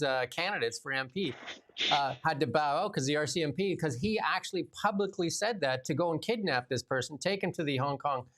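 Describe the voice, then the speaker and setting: A Canadian man co-hosting a casual political talk show, exasperated and sarcastic, his voice jumping higher when he gets riled. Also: fairly bright tone. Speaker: co-host.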